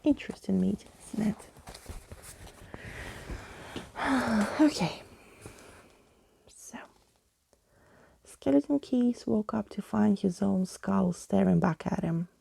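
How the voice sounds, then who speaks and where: A woman reading a bedtime story in a soft ASMR whisper, close to the microphone. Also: mid-range voice, slow, vocal fry, long pauses, slight background noise. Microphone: close microphone, dry.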